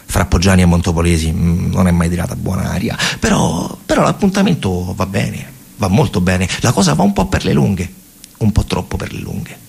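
Husky, low male storyteller. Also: very animated, normal speed, darkish tone. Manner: short dramatic phrases with repetition. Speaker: stage actor and storyteller. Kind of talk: theatrical narration of wartime history for radio theatre.

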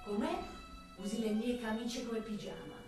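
A woman's voice: on the high side, fairly even intonation, moderate pace, far from the mic, lightly acted. An actress voicing a vain, flirtatious male cop in a radio drama, on a one-sided phone call with a former lover. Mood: teasing. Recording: very echoey room, off the mic, music behind.

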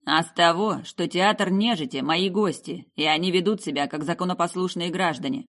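Woman voicing a courteous, measured gentleman necromancer. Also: on the low side. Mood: polite, explanatory. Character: polite, erudite male necromancer, town's owner.

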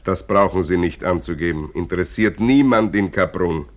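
An actor playing a brusque, weary hiring clerk in a radio play, curt, clipped and officious. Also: male voice, deep voice, normal speed, close to an everyday voice.

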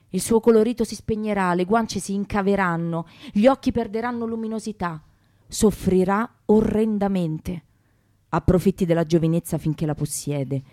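A woman's voice: mid-range voice, warm tone, no room sound, studio quality. A woman reading a literary text aloud in scripted, composed sentences. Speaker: reader.

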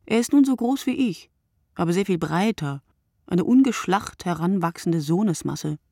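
A brooding, solitary woman writer speaks in a radio play monologue. Her voice is low, husky and gravelly, close and dry. She is deliberate and poetic, often sinking into a breathy hush. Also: lively intonation, dry recording. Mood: hushed, reflective. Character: wakeful solitary night writer, a woman, brooding and word-obsessed.

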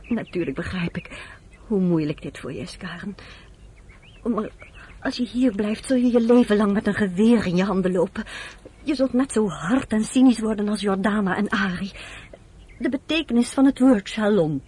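A woman's voice: mid-range voice, normal speed, dark tone, husky. An actress playing an earnest woman who speaks with tender, pleading persuasion in a radio drama. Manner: pleading, affectionate, emphatic. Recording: noisy old radio play recording.

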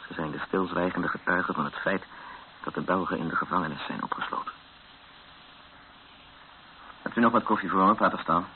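An actor playing a weary, frightened captive who narrates a radio drama in brooding short sentences. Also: male voice, deep voice, fast talker. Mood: anxious. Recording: muffled, noisy old radio recording.

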